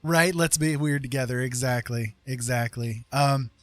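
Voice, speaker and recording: A man hosting a livestream in casual chat, talking in a rambling, halting way and often saying "you know". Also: mid-range voice, no echo, soft background music. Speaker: livestream host.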